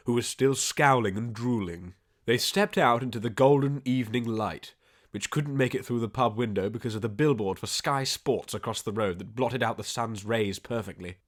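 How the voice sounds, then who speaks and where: A man narrating a comic audiobook story from a script in a deadpan storybook manner. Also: mid-range voice.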